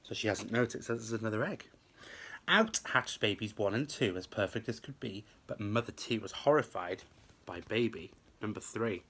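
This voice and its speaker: Male teacher as storyteller: lowish pitch, bright forceful tone, rhythmic and expressive for children. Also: normal speed. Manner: emphatic, rhythmic delivery of rhyme. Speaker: teacher reading a story to pupils.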